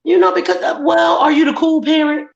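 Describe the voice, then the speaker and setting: A woman hosting a live chat show, a little hoarse, telling stories in a brassy, profane way. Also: sits high, fairly even intonation, a little fast, reverberant, lo-fi sound. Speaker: host.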